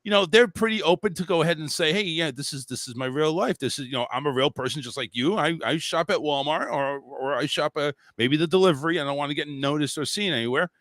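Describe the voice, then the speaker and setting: A man's voice: fairly high pitch, fast talker, few pauses, a bit bright, no room sound. A man hosting a talk show, rambling and joking crudely in casual chat. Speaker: show host.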